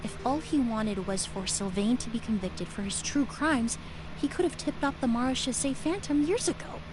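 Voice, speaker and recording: A woman voicing a calm, analytical young woman investigator in a video game, reasoning aloud in a thoughtful way. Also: a little high, normal speed, lightly acted. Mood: pensive. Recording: noisy game audio capture.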